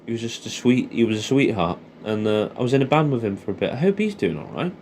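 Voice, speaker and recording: Young English male, mellow and soft-edged, casual halting catch-up monologue to an old friend, with noticeable background noise. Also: medium pitch, big pitch swings. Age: young adult. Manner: rambling, hesitant, informal with swearing.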